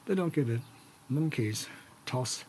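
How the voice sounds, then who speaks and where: A man's voice: mid-range voice, lively intonation, unhurried, darkish tone, slight background noise. An older man chatting casually as a solo podcast host, hesitant and digressive, with wry, opinionated asides. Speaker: podcast host and amateur musician.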